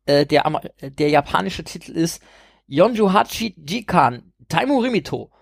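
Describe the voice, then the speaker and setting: A man's voice: fairly high pitch, animated, normal speed, gravelly, husky, no echo, studio quality. A man co-hosting a casual podcast discussion, rambling with many fillers and laughing at his own jokes. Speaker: podcast co-host.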